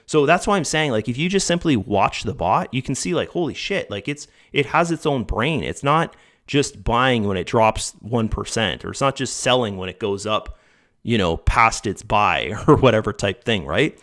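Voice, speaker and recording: A man hosting his own channel, talking casually over his screen with a slightly hoarse voice, often correcting himself and calling viewers guys. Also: medium pitch, animated, normal speed, a bit bright. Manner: rambling, self-correcting, addresses viewers as guys. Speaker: channel host reviewing a trading bot. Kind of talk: casual screen-share commentary.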